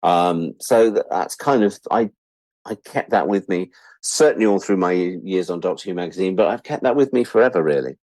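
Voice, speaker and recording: Older British man, lowish voice, rambling candidly. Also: lively intonation, normal speed, a little mellow, no room sound. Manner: candid, sweary, self-deprecating. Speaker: interview guest, writer-producer. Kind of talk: rambling conversational anecdotes.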